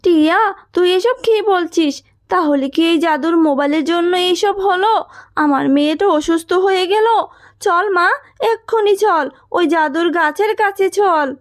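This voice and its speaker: A caring mother fox from a children's cartoon voiced by a woman, speaking in a high voice. She is tender and expressive, with an anxious motherly streak. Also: moderate pace, no echo, clean studio sound. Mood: worried.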